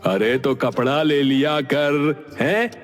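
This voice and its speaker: A man's voice: on the high side, slow pace. A man playing a scolding middle-aged father in a cartoon story, curt and bossy. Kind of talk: Hindi cartoon story acting. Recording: reverberant hall sound with faint music.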